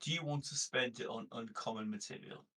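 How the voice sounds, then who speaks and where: A man's voice: mid-range voice, brisk, pushed and bright. A man chatting casually as a player in a tabletop game.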